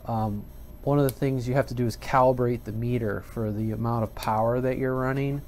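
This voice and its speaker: A man's voice: medium pitch, fairly even intonation, a little fast, darkish tone, slight background noise. A man giving an unscripted, casual hobby radio tutorial in a plain-spoken, colloquial way, often checking in with the listener. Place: indoors at a home workbench.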